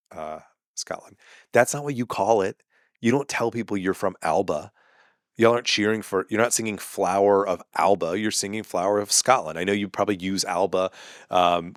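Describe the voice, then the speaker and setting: A man's voice: low voice, no room sound, clean studio sound. A man, a comedian and podcast host ranting sarcastically and with exasperation in a casual monologue.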